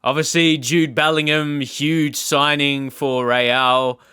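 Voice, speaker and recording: A man's voice: a little high, fairly even intonation, slow pace, bright, forceful tone, clear, resonant tone, no room sound, clean studio sound. A man giving casual reaction commentary as a gaming YouTuber, thinking aloud in a hesitant, rambling way. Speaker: video game YouTuber.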